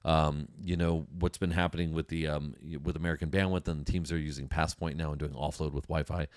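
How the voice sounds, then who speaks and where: A man's voice: rumbling low, flat delivery, a little fast, no room sound, clean studio sound. A man hosting a podcast, talking casually and enthusiastically about industry news to his audience, repeating phrases for emphasis. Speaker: podcast host.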